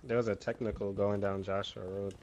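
A man's voice: lowish voice, flat delivery, fairly quick, a little mellow, muffled recording. A man giving casual callouts to his team during a group game stream. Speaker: gamer in a group livestream. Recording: game gunfire and noise behind voice. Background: video game gunfire.

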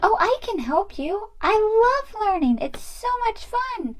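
A woman's voice: extremely high pitch, big pitch swings, slow pace, pitched above the performer's own voice, heavily acted. A woman voicing a cheerful little girl toy who loves learning, exclamatory and singsong, in children's pretend play. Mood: excited.

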